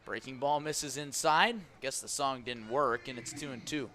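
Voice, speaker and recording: Bright-voiced male baseball play-by-play announcer. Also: medium pitch, moderate pace. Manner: clipped, vivid play calling. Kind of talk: live radio sports commentary. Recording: live ballpark broadcast with background noise.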